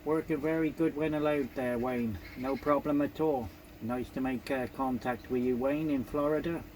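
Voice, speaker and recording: A man's voice: medium pitch, steady tone, moderate pace, darkish tone, a little hiss or hum, poor audio. A man making CB radio calls, repeating call signs and signing off courteously. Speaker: CB radio operator. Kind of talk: radio call-outs and casual contact chat.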